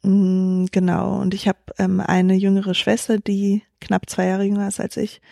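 Young woman founder, dark mellow voice, dry close sound, thinks out loud with lots of fillers. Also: mid-range voice, completely flat delivery, measured pace, vocal fry, no room sound. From Germany. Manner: thoughtful, many fillers and restarts. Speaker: startup founder, guest. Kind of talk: reflective interview answers.

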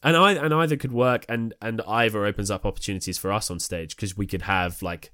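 Male co-host, lower register, enthusiastic and discursive, dry recording. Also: steady tone, brisk, bright, forceful tone, no room sound. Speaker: podcast co-host. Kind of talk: casual chat.

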